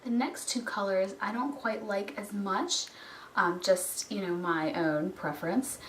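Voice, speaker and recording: A hoarse woman with a cold talks through a product review in a loose, chatty way, full of ums and small restarts. Her voice sits at a middle pitch and comes from a little distance away. The room adds a noticeable echo with a faint hiss underneath. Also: a little slow. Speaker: beauty vlogger.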